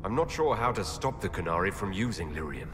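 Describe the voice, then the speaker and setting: A man's voice: deep voice, big pitch swings, a little fast. An actor playing the human male Inquisitor in a video game, speaking in a direct, questioning, resolute way. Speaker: player character. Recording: game audio with long reverb and loud ambient noise.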